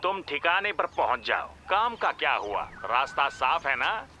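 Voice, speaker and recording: Sharp, greedy cartoon thief. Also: male voice, on the high side, very animated, unhurried, lo-fi sound, soft background music, full character voice. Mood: bossy. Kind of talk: animated comedy dubbing.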